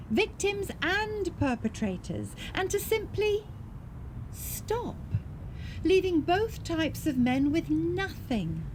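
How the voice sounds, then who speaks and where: Troubled support worker, high swooping voice, earnest and agitated, radio drama monologue, noisy surroundings. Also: female voice, darkish tone, heavily acted. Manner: earnest, agitated, self-questioning. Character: anxious, frustrated woman who ran a domestic abuse service. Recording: clear background ambient noise.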